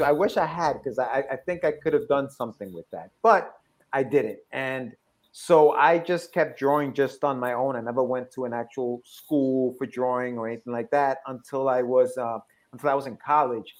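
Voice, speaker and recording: A man in his forties, originally from Ecuador, talks in a mid-pitched voice as a guest on a podcast. He tells stories casually and chattily, often doubling back on a phrase. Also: normal speed. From Ecuador, moved to the States at seven. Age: 40s. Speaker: podcast guest, comic book creator. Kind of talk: casual conversational storytelling.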